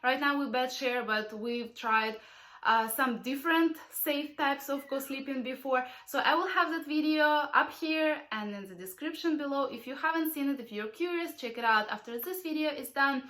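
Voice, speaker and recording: A woman's voice: sits high, moderate pace, bright, forceful tone. A young woman with a cold, hosting a parenting channel and talking straight to her viewers in a friendly product review vlog. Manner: friendly, direct address to viewers. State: has a cold.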